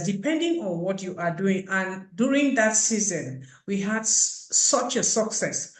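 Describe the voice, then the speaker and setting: A woman's voice: mid-range voice, roomy sound, poor audio. A woman, a program coordinator speaking over a video call and explaining earnestly, like telling a story. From Cameroon.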